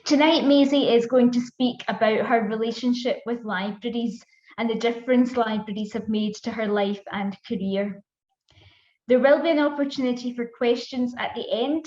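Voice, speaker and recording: A woman's voice: fairly high pitch, moderate pace, darkish tone. A woman hosting an online event on a video call, politely reading out introductions and housekeeping notes. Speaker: event host. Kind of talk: scripted introduction. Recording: echoey, dull video call audio.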